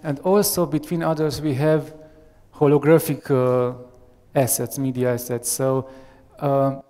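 A man in his thirties with a Romanian accent gives a live software demo on a headset mic, hesitant and full of fillers. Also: medium pitch, flat delivery, unhurried. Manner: hesitant, many fillers and restarts. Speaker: software architect giving a demo. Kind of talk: live tech demo presentation. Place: large conference room with audience. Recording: long reverb of a large hall.

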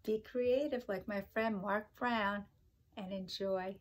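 A middle-aged woman reading a picture book aloud to young children like a teacher, with expressive, sing-song delivery and chatty asides. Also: mid-range voice, slow. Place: kitchen-like room at home, indoors.

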